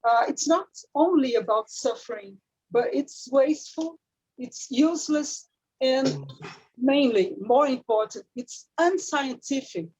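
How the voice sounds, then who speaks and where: Woman on a seminar call, slightly husky, emphatic. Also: high voice, unhurried, darkish tone, rough recording. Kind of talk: online seminar discussion.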